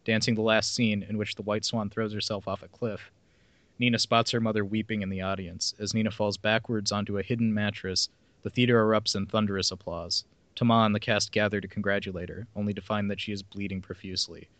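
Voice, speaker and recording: A man's voice: fairly low pitch, little pitch movement, a little fast, fairly bright tone, no echo. A man hosting a podcast, reading a plot summary aloud with casual asides. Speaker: podcast host reading film synopses.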